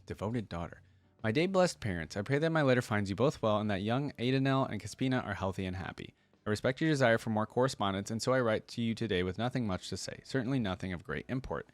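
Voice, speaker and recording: A man's voice: fairly low pitch, expressive, fast, no echo. A man hosting a reaction video, reading story text aloud and commenting casually. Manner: informal, thinking aloud.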